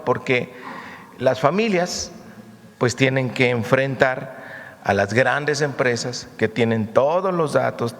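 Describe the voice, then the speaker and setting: A man with a Mexican accent giving a formal, didactic presentation at a government press conference, setting out points step by step. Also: mid-range voice. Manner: didactic, enumerating points with rhetorical questions. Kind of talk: formal public presentation with slides. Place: large hall, official press conference. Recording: reverberant hall.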